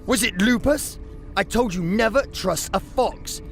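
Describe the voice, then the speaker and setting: A man's voice: sits high, unhurried, creaky, soft background music. A man playing a scheming, panicky young wolf heir, whiny, blustering and stammering, in a sci-fi audio drama. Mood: indignant panic.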